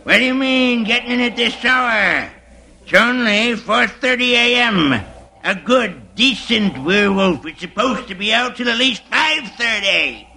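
A man's voice: very high-pitched, expressive, measured pace, bright, forceful tone, full character voice. An actor playing a scolding, folksy werewolf father in a 1950s radio comedy. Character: gruff, scolding old-fashioned werewolf father. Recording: noisy 1950s radio recording.

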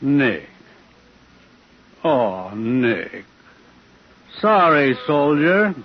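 A mocking radio villain, raspy and really slow, gloating, old muffled 50s recording. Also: male voice, mid-range voice, very animated, exaggerated voice. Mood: mocking menace. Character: menacing, mocking man gloating over a dying victim. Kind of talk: 1950s radio thriller acting. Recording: dull 1950s radio recording.

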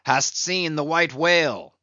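A man's voice: on the high side, a little slow, sharp tone, dry recording, dull audio. A man voicing a fierce, defiant old whaling captain in an audiobook, declaiming archaic speech with commanding force. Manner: archaic, declamatory, barking orders.

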